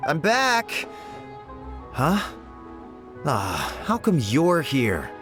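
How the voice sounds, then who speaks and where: A man's voice: on the high side, wildly swooping pitch, drawn-out words, a bit bright, soft background music, exaggerated voice. A man playing an earnest, competitive, easily irked young assistant in a video game, speaking expressively. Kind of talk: video game character dialogue.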